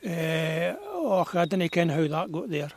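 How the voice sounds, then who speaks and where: A man playing a frail, grieving old Scottish beekeeper, gruff but whimsical, musing in broad dialect in an audio drama. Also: a little high, unhurried, no echo. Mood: grieving, bleak. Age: older. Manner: musing, rambling, dialect-rich.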